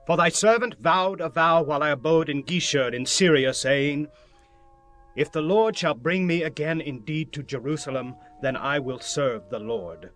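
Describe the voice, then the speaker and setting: Male actor as ambitious persuasive prince, raised pitch, emphatic delivery, audio Bible drama, soft music underneath. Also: normal speed, close to an everyday voice. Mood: earnest pleading. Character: ambitious, scheming prince Absalom, proud and persuasive.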